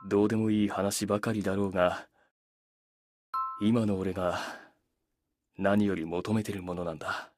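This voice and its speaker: Man voicing a low, intimate, reserved demon. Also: flat delivery, fast talker, pauses often, darkish tone, lightly acted. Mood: tender, confiding. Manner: earnest, intimate. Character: reserved male demon confiding tenderly in a human. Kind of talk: anime-style phone call drama.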